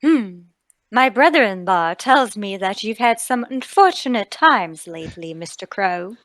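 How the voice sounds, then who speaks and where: A woman playing a refined, tender lady of the manor with a measured, dramatic delivery in a radio play table read. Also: mid-range voice, lively intonation, a bit bright, no room sound. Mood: gracious.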